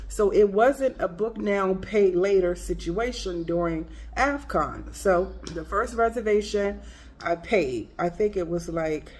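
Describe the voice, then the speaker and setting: A woman with a congested voice from a cold talks to her camera in a relaxed, unhurried way. She tells her story with a chatty, slangy and exasperated tone. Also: lowish voice. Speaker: travel vlogger. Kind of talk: casual storytelling vlog.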